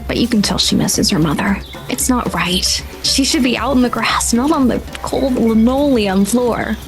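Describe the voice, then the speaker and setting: A woman's voice: on the high side, normal speed, over music. A woman working as game master, narrating atmospheric tabletop scenes in a dramatic storytelling manner.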